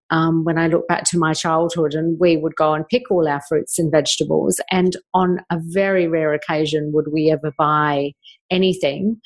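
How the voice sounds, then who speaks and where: Lower-pitched middle-aged New woman. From New Zealand. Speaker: guest, skincare product maker. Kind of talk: reflective personal storytelling.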